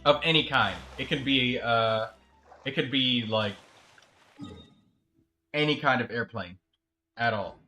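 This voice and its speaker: A man chatting casually as a game streamer, telling a story in a rambling, halting way. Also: mid-range voice, pushed and bright. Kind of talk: casual livestream chat.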